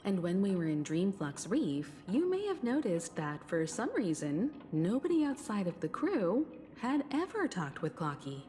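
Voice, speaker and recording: An actress playing a composed, perceptive woman in a video game, explaining things in a poised, deliberate way. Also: mid-range voice, big pitch swings, dark tone, a little hiss or hum, close to an everyday voice. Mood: knowing, composed. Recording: long hall reverb, faint music.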